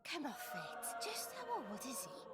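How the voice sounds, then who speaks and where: Sceptical teen girl, high-pitched, swooping intonation, outspoken, video game scene. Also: wildly swooping pitch, unhurried, exaggerated voice. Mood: incredulous, wary. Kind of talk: video game cutscene acting. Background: loud music.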